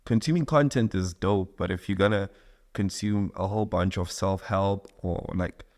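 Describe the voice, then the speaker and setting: A man from South Africa, a musician, talking casually as a podcast guest in an informal, relaxed way. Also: sits low, moderate pace. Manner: relaxed, informal, uses slang. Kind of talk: casual interview chat.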